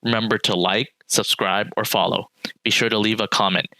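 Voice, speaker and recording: Male podcast co-host, lowish and bright-toned, earnest with light laughs, dry mic. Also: fairly even intonation, fairly quick, no echo, low-quality recording. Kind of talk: casual podcast chat.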